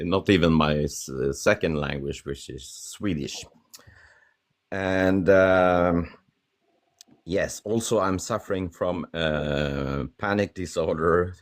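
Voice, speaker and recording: Halting Norwegian man, slow and deep. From Norway. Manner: halting, many fillers and restarts. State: shaky with nerves. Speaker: caller. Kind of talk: nervous phone-in chat.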